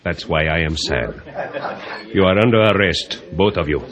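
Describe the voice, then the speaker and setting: An actor playing a courtly, mock-sorrowful Cuban police official in an old-time radio drama, florid and formal, with a Cuban Spanish accent. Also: male voice, sits low, lively intonation, moderate pace, a bit bright. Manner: florid, overly polite, formal.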